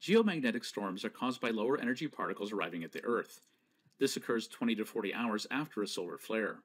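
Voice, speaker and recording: A man giving a scripted slideshow lecture, explaining each point in a measured, precise way. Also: fairly low pitch, animated. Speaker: presenter.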